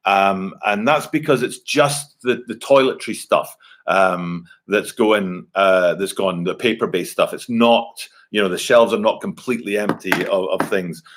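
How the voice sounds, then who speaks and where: Rambling man in his forties hosting a casual live stream at his computer, voice sits low. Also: measured pace, fairly bright tone. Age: 40s. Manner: rambling, many fillers and restarts. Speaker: livestream host. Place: home, at a computer. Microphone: computer webcam mic.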